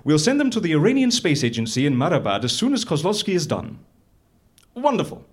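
An eccentric British boss rattles off instructions with a very animated, swooping voice. He is brisk, fussy and whimsical, in the manner of live audio comedy. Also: fairly high pitch. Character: eccentric, busy male boss dictating instructions.

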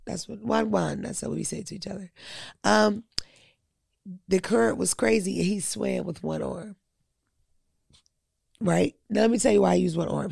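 A woman's voice: mid-range voice, creaky, a little mellow, husky, dry recording. A woman telling blunt, crude stories in a casual podcast chat, close to the mic.

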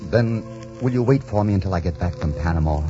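An actor playing a bold, romantic adventurer captain in a theatrical 1940s radio drama style. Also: male voice, low voice, lively intonation, fast talker. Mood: ardent, romantic. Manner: theatrical, ardent, declamatory. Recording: dull 1940s radio recording, music behind.

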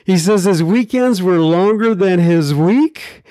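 A folksy American man hosts a podcast in a high-pitched voice. He rambles chattily through his introductions and questions, and the recording is close and dry. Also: takes it slowly, warm tone, dry recording. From United States. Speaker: podcast host. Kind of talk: casual podcast intro and interview.

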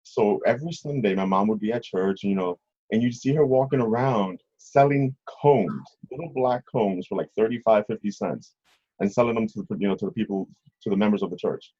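A middle-aged man telling stories casually as an interview guest, husky, rambling and often checking in with the listener. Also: lowish voice, brisk, warm tone. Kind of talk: casual conversational storytelling. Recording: slightly dull, lo-fi audio.

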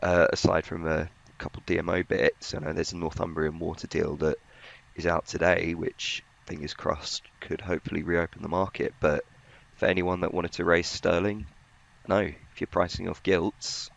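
A man's voice: sits low, level tone, moderate pace, vocal fry. A man giving conversational market commentary as a journalist on a podcast, in slightly muffled audio. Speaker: financial journalist, podcast panellist.

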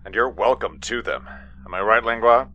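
Muffled, low, smug man played by an actor. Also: normal speed, lightly acted. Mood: smug, needling. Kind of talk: audio drama acting. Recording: dull, muffled transmission sound.

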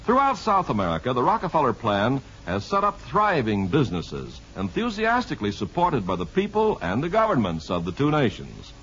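A man's voice: mid-range voice, huge pitch swings, normal speed, few pauses, fairly bright tone. Emphatic, swooping man announcing on old radio. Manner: formal, emphatic. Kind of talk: 1950s radio announcing, scripted narration. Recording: dull 1950s radio recording.

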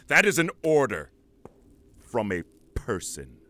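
An actor playing a haughty, menacing corporate executor in an audio drama, pompous and commanding. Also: male voice, mid-range voice, wildly swooping pitch, slow pace, pushed and bright, exaggerated voice. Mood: sneering, commanding. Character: haughty, menacing male corporate executor barking orders at underlings. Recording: faint background noise.

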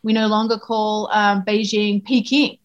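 A woman foreign correspondent answering interview questions in a thoughtful way, with frequent pauses and restarts. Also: mid-range voice, flat delivery, highs cut off.

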